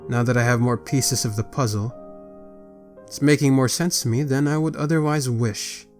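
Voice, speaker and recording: A man's voice: mid-range voice, normal speed, a little mellow, soft background music, natural voice. Man playing a stern, formal vampire patriarch. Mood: grave, measured. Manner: formal, authoritative. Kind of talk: scripted roleplay voice acting.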